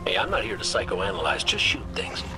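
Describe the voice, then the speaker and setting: A man's voice: low-pitched, lively intonation, brisk. A man voicing a wisecracking, easygoing veteran hunter in a video game, with a wry, breezy manner. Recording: game audio under loud music. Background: loud game music.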